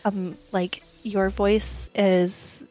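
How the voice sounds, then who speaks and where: A woman co-hosting a casual wedding podcast with her husband, joking and laughing often, her voice a bit hoarse and deep. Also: little pitch movement, very slow, warm tone, faint music behind. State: slightly hoarse, voice gone deep. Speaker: podcast co-host.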